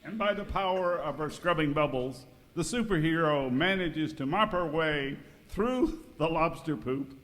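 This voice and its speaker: A man narrating an amateur radio skit from a script in dramatic, drawn-out announcer fashion. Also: fairly high pitch, a bit bright. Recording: noisy reverberant hall, live recording.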